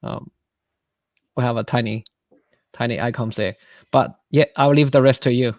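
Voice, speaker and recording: A man's voice: medium pitch, steady tone, normal speed, darkish tone, no room sound. A man hosting a live online chat on a video call, enthusiastic but hesitant, full of restarts as he introduces his guest. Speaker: webinar host.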